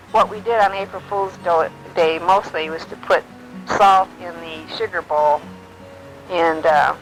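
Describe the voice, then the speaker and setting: An elderly woman from Illinois in her nineties, gently recalling her life in an unhurried way, often pausing with um and uh. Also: on the low side, rough recording. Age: older. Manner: gentle, unhurried storytelling with many ums and uhs. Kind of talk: reminiscing, answering memory prompts.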